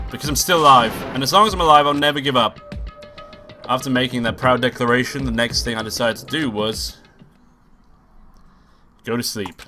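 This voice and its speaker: A man with a stuffy, nasal voice from being sick reads a visual novel aloud as a let's-play host. He drops casual, cursing asides between lines, and game music plays clearly behind him. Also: medium pitch, pushed and bright. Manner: swearing, offhand asides between read lines.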